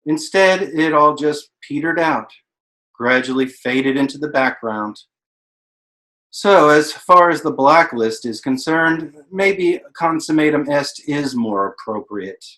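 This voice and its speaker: A man playing a thoughtful, learned narrator, measured and ruminative, in a staged-reading monologue. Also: fairly high pitch, expressive, bright, forceful tone, full character voice, low-quality recording. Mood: somber, resigned. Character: thoughtful, learned narrator reflecting on the Hollywood blacklist. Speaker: stage actor in a play reading.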